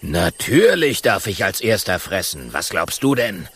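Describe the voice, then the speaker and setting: A man voicing a vain, pompous male lion king with a deep, slow, rumbling growl, in a children's audiobook. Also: very animated, fairly bright tone, slightly rough, full character voice. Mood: smug. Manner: self-important, drawling.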